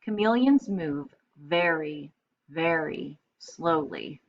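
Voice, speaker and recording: Low-voiced woman reading a storybook aloud. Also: animated, slow pace, muffled recording, slight background noise. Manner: instructive, thinks aloud in simple terms. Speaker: teacher reading aloud to pupils. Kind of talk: storybook narration with explanatory asides.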